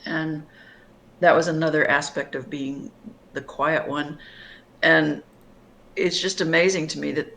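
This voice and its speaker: A middle-aged woman singer-songwriter answering interview questions in a thoughtful, reflective way, often pausing and restarting. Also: deep voice, steady tone, gravelly, fairly bright tone.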